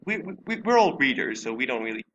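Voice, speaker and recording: A man's voice: mid-range voice, sing-song, fast, fairly bright tone, dull audio, slight background noise. A man hosting a casual podcast discussion, hesitant and often repeating words as he talks. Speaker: podcast host.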